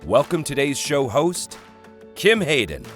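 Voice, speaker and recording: Lively male podcast announcer. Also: medium pitch, a little slow, faint music behind. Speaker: show announcer. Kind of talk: scripted announcement.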